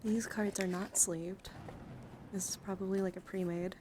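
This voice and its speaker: A woman with a medium-pitched voice hosts a casual ASMR live stream. She speaks softly and often drops into a whisper, rambling informally to her chat with playful asides. Background noise and handling sounds are clearly audible behind her. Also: fairly even intonation, moderate pace. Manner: soft-spoken, often slipping into whispers. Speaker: streamer, host of a live card-collection stream.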